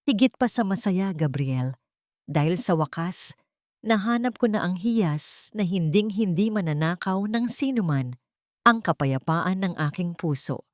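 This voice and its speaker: Woman playing steadfast bride, forgiving, tender, solemn, slightly low voice, dry sound, romantic audio story. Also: lively intonation, measured pace, dry recording. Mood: contented. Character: forgiving, steadfast bride speaking her love.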